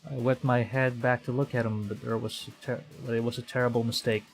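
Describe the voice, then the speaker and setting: A man from the Philippines streaming a game, thinking aloud in a halting way and reading story text aloud. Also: mid-range voice, level tone, gravelly, rough recording. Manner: halting, thinking aloud, stumbling over read lines. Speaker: VTuber streamer. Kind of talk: live game playthrough commentary, reading visual novel text aloud. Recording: background game music. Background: game music.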